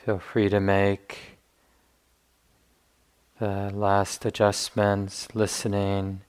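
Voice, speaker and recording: A man leading a guided meditation as a teacher, speaking gently in unhurried phrases with long pauses. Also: fairly low pitch, monotone, clean tone.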